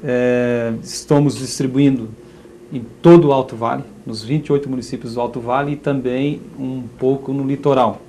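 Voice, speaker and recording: A man's voice: mid-range voice, steady tone, moderate pace, warm tone, slight background noise. Earnest journalist man on a lapel mic. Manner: earnest, restarts with "é" and "né". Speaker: journalist guest. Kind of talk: studio interview. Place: TV studio kitchen set, seated.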